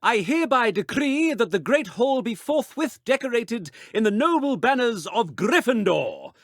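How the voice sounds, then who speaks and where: A man's voice: extremely high pitch, big pitch swings, normal speed, no room sound, studio quality, full character voice. A man voicing a pompous, imperious school authority in a video game, declaiming formally. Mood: pompous, commanding. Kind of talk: scripted video game announcement.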